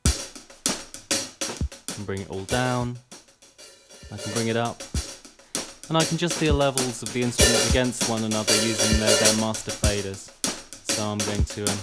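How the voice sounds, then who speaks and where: A man's voice: on the low side, a bit bright. A man presenting an informal music production tutorial, explaining step by step in a slightly hesitant way. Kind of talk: informal software tutorial demonstration. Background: drum and music playback.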